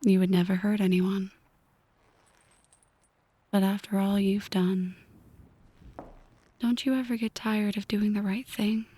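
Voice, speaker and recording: A woman playing a possessive, brooding mafia boss in an ASMR roleplay, speaking in a breathy whisper, deliberately and intimately to one listener. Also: mid-range voice, fairly even intonation, warm tone. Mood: bitter, brooding.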